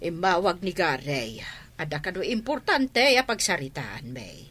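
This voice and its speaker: A fretful older mother voiced by a woman in a radio drama, bright and forward in tone. Her disapproving lines rise and fall widely as she talks about family matters. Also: lowish voice, big pitch swings, measured pace, faint music behind. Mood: coaxing. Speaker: voice actor in an audio drama.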